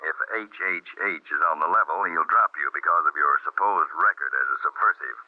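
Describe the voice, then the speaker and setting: An actor playing a calm, businesslike senior government agent in old radio drama style. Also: male voice, extremely low pitch, a little fast. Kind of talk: 1950s radio drama acting. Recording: narrow-band old radio recording.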